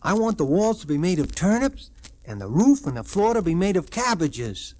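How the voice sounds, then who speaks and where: Food-obsessed boy piglet, played by a man. Dreamy, childish, very animated pitch, noisy vintage storybook recording. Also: normal speed, natural voice. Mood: dreamy, greedy.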